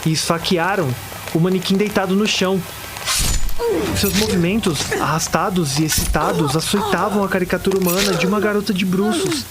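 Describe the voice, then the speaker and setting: A man's voice: medium pitch, normal speed, natural voice. A man with a Brazilian accent playing a terrified witness who recounts a horror story in the first person, dramatic and anguished. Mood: panicked, horrified.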